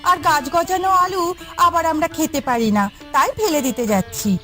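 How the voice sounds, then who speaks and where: A brisk elder wife in a village cartoon, played by a woman. She is very high-pitched and practical, instructing and explaining over soft music. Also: expressive, heavily acted. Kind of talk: cartoon voice acting.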